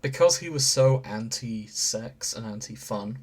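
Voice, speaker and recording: A man's voice: medium pitch, lively intonation, unhurried, a little mellow, husky. A British man co-hosting a podcast in casual comic banter, jokey and sweary, given to wandering off on tangents. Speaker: podcast co-host.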